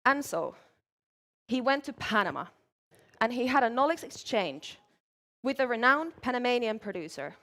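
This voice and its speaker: A woman's voice: a little high, very animated, a bit bright. Woman presenting as competing barista. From Finland. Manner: measured, clear presenting while working. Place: large event hall, live competition.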